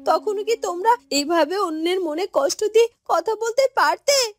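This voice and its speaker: A woman voicing a gentle, sorrowful young village woman in a melodramatic fairy-tale cartoon, pleading plaintively. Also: very high voice, expressive, moderate pace, no room sound, studio quality. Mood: hurt.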